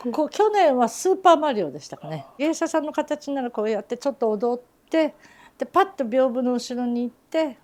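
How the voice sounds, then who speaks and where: A woman who runs a traditional teahouse and performs as a geisha, talking fondly about shared memories in an interview. Her voice sits a little high, and she tells stories in a chatty way with frequent laughter. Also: big pitch swings, normal speed, darkish tone. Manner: chatty storyteller, fond, often laughing. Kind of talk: reminiscing interview chat.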